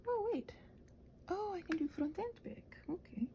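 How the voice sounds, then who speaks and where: A woman chatting casually in a rambling ASMR style, with a soft breathy voice that often drops into a whisper, questioning herself and thinking out loud. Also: very high-pitched, expressive, a little slow, slight background noise. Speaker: ASMR video creator and host.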